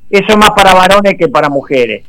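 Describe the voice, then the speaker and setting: A Rioplatense man trading teasing jokes as a co-host, his pitch leaping around as he talks. His voice sits a little high for a man, and he tells his anecdotes with plenty of play. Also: fairly quick, a crowd in the background, low-quality recording. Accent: Rioplatense Spanish. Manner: teasing, animated storyteller. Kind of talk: casual banter.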